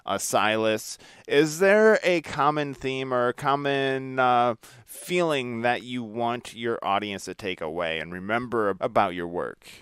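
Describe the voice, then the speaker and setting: Very clear-voiced man hosting a podcast. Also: medium pitch, unhurried, a bit bright, no echo, studio quality. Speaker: podcast host. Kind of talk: casual interview chat.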